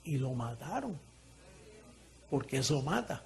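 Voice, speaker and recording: A man preaching a sermon in an animated way, swinging from quiet asides to shouting and laughing often as he talks. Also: medium pitch, measured pace, vocal fry.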